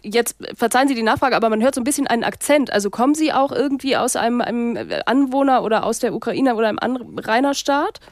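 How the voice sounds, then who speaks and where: A woman's voice: a little high, quick pace, few pauses, no room sound, clean studio sound. A woman hosting a radio phone-in, asking polite, probing questions. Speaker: radio host. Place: radio studio.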